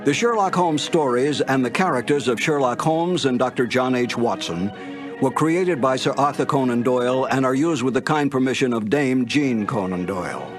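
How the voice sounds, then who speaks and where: A man reading a measured, formal closing narration for a radio series reissue. His voice sits at an ordinary pitch. Clear music plays behind him throughout. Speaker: host of a cassette reissue.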